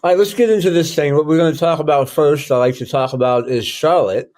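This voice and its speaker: A man's voice: a little high, quick pace, a little mellow. A man hosting a casual interview, chatty and loose, thinking aloud with frequent ums. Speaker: host.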